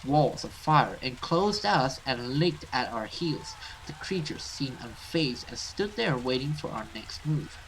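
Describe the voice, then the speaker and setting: A man's voice: mid-range voice, animated, unhurried, few pauses, background noise. A man giving casual let's play commentary and reading game text aloud. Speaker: gaming video host.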